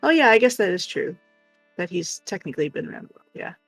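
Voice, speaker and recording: A woman's voice: fairly low pitch, sing-song, fast, no echo, faint music behind. An American woman co-hosting a livestream, chatting casually with viewers. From United States. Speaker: livestream co-host.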